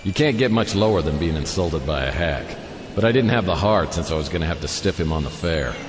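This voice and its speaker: An American man playing a down-on-his-luck private detective in a noir radio drama, narrating with deadpan, self-mocking dryness. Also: sits low, a little fast, big-room sound, noisy background. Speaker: lead character and narrator. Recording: studio radio drama over music. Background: prominent music.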